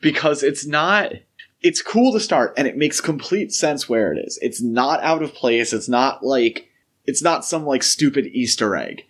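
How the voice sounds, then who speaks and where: A man's voice: mid-range voice, animated, a little slow, fairly bright tone. A man co-hosting a podcast, discussing film casually in an analytical, thinking-aloud way. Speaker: podcast co-host.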